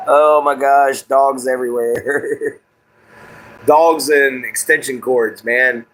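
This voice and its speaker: A man's voice: steady tone, slow, fairly bright tone, rough recording. A man, chatting casually and rambling on a live stream from a phone propped on a table at home. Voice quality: booming voice. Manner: laid-back, rambling, apologetic. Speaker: livestreamer. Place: home room with kids and dogs.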